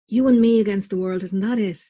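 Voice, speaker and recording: A woman voicing a kind young man who gently coaxes and reassures his younger brother. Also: medium pitch, steady tone, brisk, husky, muffled recording, natural voice. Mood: coaxing.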